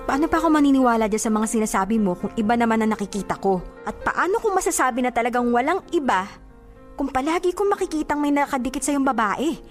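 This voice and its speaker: A hurt young girlfriend played by a woman in a radio drama, jealous and reproachful. Her high voice moves animatedly up and down as she insists, with faint music behind her. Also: lively intonation, fast, lightly acted.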